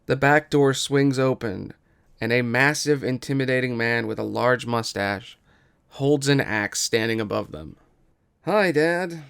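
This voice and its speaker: A man's voice: mid-range voice, fairly even intonation, unhurried, gravelly, fairly bright tone, clean tone. A man narrating a scripted story as the reader of a table read.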